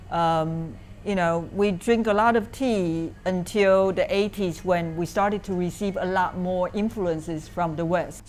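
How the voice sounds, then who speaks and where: Lowish-voiced woman with a Taiwanese accent. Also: slight background noise. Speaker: interviewee, cafe owner. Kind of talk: informal explanatory interview talk.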